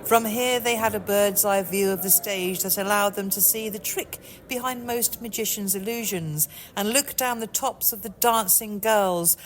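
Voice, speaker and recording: A woman's voice: medium pitch, normal speed, hardly any pauses, slight background noise. A woman author reading her own novel aloud in a scripted storybook narration style. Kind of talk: storybook narration and casual chat. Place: chilly old music hall auditorium.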